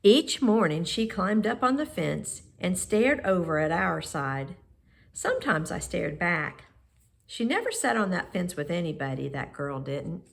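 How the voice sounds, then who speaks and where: Female picture-book narrator: lowish pitch, unhurried, expressive phrasing with pauses. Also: gravelly. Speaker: storybook reader. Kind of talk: children's picture book read-aloud.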